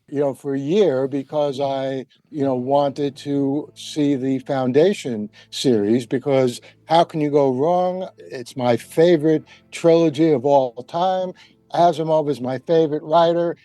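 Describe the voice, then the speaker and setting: A man's voice: fairly high pitch, mellow, dry recording. An older man talking casually as a panel guest, wry and emphatic, wandering into asides as he explains his opinions. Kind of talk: casual discussion, storytelling.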